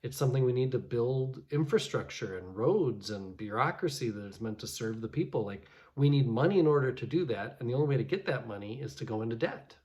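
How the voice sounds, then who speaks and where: A pastor talks through a morning reflection in a loose, conversational way, often restarting his sentences. His voice sits at an ordinary middle pitch for a man. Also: animated, a little fast, hardly any pauses, a little mellow. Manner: conversational, many restarts and fillers. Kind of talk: casual devotional reflection.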